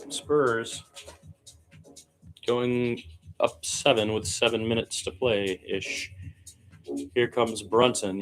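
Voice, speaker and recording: A man giving conversational radio play-by-play of a basketball game, mixing running calls with opinionated asides. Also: fairly low pitch. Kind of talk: live basketball play-by-play commentary.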